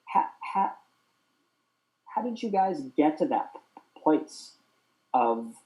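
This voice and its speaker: Earnest man who stutters, mellow voice. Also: on the high side, very animated, slow pace, low-quality recording. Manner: stutters with repeated sounds, earnest and open. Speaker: host. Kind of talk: casual chat.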